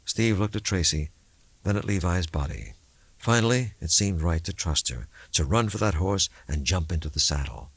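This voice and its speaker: A man's voice: sits low, lively intonation, normal speed, gravelly, slightly rough, no echo. A man reading a suspense novel as an audiobook narrator, in dramatic scripted narration.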